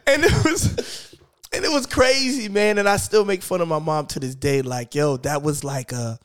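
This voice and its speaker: A man in his thirties from a Haitian-American family, hosting a casual podcast chat, animated and laughing often. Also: on the high side, normal speed, clear, resonant tone. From United States, Haitian family. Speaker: podcast host.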